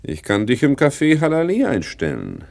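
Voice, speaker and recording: A man's voice: mid-range voice, wildly swooping pitch, normal speed, creaky, a little mellow. An actor playing a slick, self-important small-time crook turned businessman, pompous and self-satisfied, in a radio play. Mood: grand, businesslike. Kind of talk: 1960s German radio play acting.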